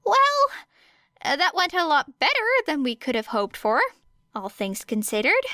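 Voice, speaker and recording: A woman voicing an excitable, emphatic male hero teacher in an audiobook story. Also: sits high, moderate pace, no echo, studio quality, voice pushed up, heavily acted. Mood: nervous, upbeat. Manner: animated, emphatic, exclaiming.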